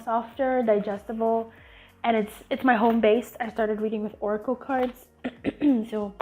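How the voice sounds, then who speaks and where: Sniffly chatty woman over tinny music. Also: fairly high pitch. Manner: chatty and rambling, with fillers and restarts. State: sniffly, congested voice. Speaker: channel host. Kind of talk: casual tutorial chat.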